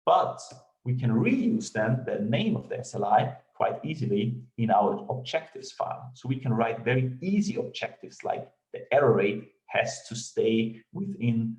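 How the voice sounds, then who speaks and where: A man's voice: medium pitch, big pitch swings, normal speed, few pauses, darkish tone, roomy sound, poor audio. A man from Austria with an Austrian German accent and German as his first language, giving a remote technical conference talk with many fillers and restarts. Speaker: conference speaker.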